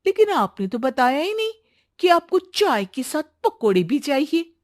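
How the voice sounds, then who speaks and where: A woman voicing an outspoken young bride-to-be in an expressive, emphatic cartoon story style. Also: high voice, measured pace, dry recording, clean studio sound, voice pushed up. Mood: polite, perky. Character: Neha, outspoken young bride-to-be, chirpy, sometimes exasperated. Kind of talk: animated Hindi story voice acting.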